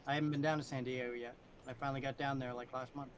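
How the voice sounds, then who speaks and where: A man's voice: medium pitch, little pitch movement, rapid-fire, slight background noise. A man making brief, casual remarks in a group chat.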